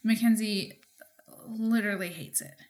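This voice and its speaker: A woman's voice: medium pitch, measured pace, a little mellow. An American woman in her thirties with a mid-range voice chats casually as a podcast co-host. Her phrasing is loose and informal, with "like" scattered through it. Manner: informal, frequent "like" as filler. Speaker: podcast co-host and market gardener. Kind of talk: casual conversational chat.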